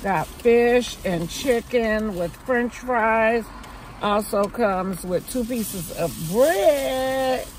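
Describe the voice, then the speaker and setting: A woman's voice: fairly high pitch, very slow, a little hiss or hum, poor audio. A woman in her forties with a Southern US accent, chatting casually to viewers from her car and calling them besties. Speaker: vlogger. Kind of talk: casual car vlog chat. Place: inside a parked car, outdoors around. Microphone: phone camera on dashboard holder.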